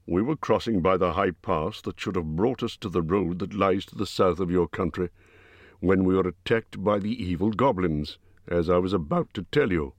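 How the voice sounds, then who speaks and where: A man doing a calm old wizard. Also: low-pitched, normal speed, dry recording. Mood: tactful. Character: old male wizard, patient and tactful.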